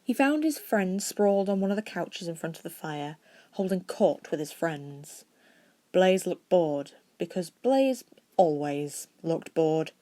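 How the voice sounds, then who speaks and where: A woman with a slightly low voice narrates a story from a script. She reads expressively, in the manner of an amateur audiobook reader. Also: animated, measured pace, dark tone, no room sound. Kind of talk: scripted narration of fan fiction.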